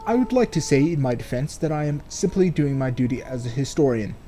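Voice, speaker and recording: A man's voice: medium pitch, expressive, a little fast, dark tone, faint music behind. A man doing casual game commentary and reading game text aloud, sardonic and free with swearing. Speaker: let's player.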